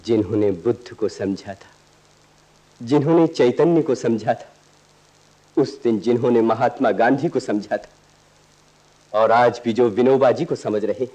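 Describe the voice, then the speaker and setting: A man's voice: medium pitch, mellow, husky, low-quality recording. A man playing an earnest, resolute idealist lover in a radio play, with solemn, poetic, declamatory delivery. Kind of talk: stage-like radio play acting.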